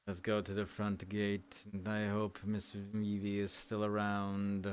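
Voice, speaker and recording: A man playing a formal, stern fantasy town guard leader in an online roleplay, speaking in measured, old-fashioned phrases. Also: lowish voice, completely flat delivery, clear, resonant tone, close to an everyday voice. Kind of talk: in-character virtual reality roleplay.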